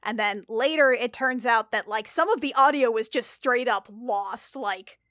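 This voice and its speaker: Higher-pitched woman chatting casually. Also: measured pace, a bit bright, no room sound, studio quality. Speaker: podcast cast member. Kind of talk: casual chat.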